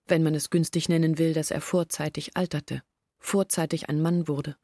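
A woman's voice: sits low, flat delivery, normal speed, mellow, no room sound, studio quality. An actress playing a troubled young woman who earnestly recounts her family's long hardship in a literary radio drama. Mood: earnest, weary. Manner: earnest, reflective storytelling in long sentences. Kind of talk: German literary radio drama acting, monologue.

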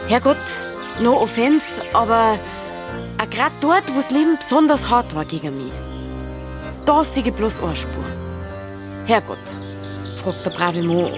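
A woman's voice: a little high, expressive, measured pace, pauses often, music in the background. An actress playing a plucky, affectionate, teasing young female hiker with a Bavarian accent, in a naturalistic dialect radio drama. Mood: storytelling.

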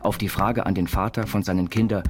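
Low-voiced male narrator over guitar music. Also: steady tone, brisk. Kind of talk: literary narration over music, reading autobiographical texts. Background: plucked guitar music.

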